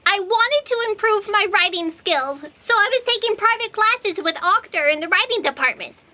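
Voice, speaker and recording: Very squeaky, anxious young intern. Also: female voice, lively intonation, moderate pace, sharp tone, heavily acted. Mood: confessional. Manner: plaintive, earnest, melodramatic. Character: anxious young female intern fact checker, earnest and guilt-ridden. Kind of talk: scripted comic audio drama.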